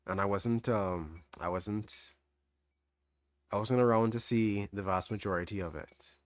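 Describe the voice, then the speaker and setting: A young man with a mild Trinidadian accent answering chat questions on a livestream, relaxed, thinking aloud with restarts. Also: lowish voice, a little slow, no room sound. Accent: Trinidadian, fairly standard. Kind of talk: casual livestream Q&A.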